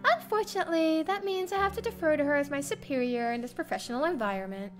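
A young woman streamer reading a visual novel aloud as expressive first-person story narration. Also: high-pitched, fast talker. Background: game music.

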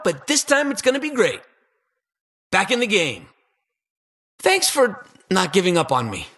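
An actor playing an eager, anxious and boastful toy-inventor hero in a video game, with a husky, slightly awkward delivery. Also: male voice, high-pitched, bright, forceful tone, heavily acted. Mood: nervously optimistic. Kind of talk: video game battle voice lines.

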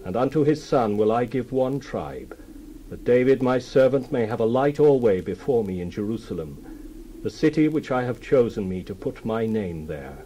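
Male actor as solemn deity, mellow timbre, mid-pitched, declamatory, stately, dramatized scripture. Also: animated, normal speed, slight background noise, lightly acted, rough recording. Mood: commanding, solemn.

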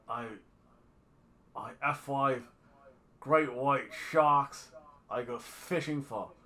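A man's voice: medium pitch, pushed and bright. A man voicing a boastful, swaggering woman wrestler with a mock Australian accent, in a casual vlog.

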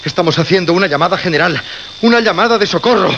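A desperate, doomed broadcaster in a radio horror play. His Castilian Spanish voice is rather high and gravelly, pleading and repeating itself, on a muffled, noisy recording. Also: quick pace, a bit bright. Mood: urgent pleading. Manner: pleading, dramatic, repeating himself. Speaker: actor in radio drama.

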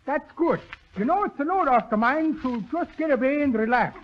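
Genial German immigrant grocer, very high voice, muffled vintage radio sound. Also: male voice, animated, normal speed. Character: genial male German immigrant shopkeeper, comic. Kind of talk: 1930s radio comedy acting. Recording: muffled, crackly 1930s radio recording.

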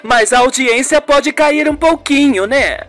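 Performer voicing a high-pitched, emotional woman TV presenter. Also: a little slow, fairly bright tone, faint music behind. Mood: surprised, anxious. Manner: pleading, effusive. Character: tense, emotional woman TV presenter, grateful and anxious.

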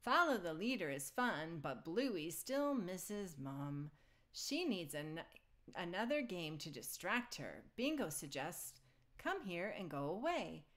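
A woman reading a children's picture book aloud in an expressive storytelling style. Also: lowish voice, slow pace.

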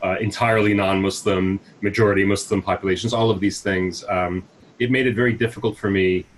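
A man's voice: deep voice, fairly even intonation, brisk, slight background noise. A man in his forties from the United States, a nonprofit CEO answering interview questions on a video call through earbuds, reflective and full of fillers. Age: 40s. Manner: thoughtful, many fillers and restarts. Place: small room at home, indoors. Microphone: wired earbuds on a video call.

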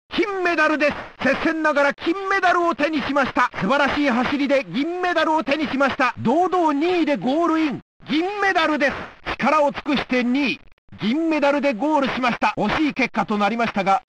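A man playing an excitable old-time stadium announcer, giving formal, emphatic play-by-play for a sports video game. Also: very high-pitched, slight background noise. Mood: excited, then consoling, earnest. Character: excitable male 1960s Olympic stadium announcer.

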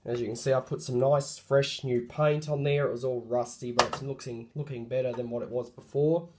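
A hoarse man gives a casual show-and-tell about a light fitting he fixed up. His voice is croaky from a cold, and he talks haltingly, full of ums and self-corrections. He sits at a mid pitch and records on a phone in a room at home. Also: steady tone, darkish tone, slight background noise. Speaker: hobbyist showing a refurbished light.

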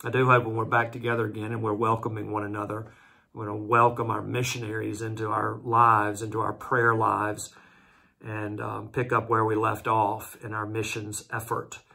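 A man in his sixties, a pastor giving a devotional Bible talk at home, earnest and slowing down to read scripture aloud, recorded close on a camera. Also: on the low side, completely flat delivery, creaky, sharp tone, slightly rough. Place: room at home with patterned wallpaper. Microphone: front camera at close range.

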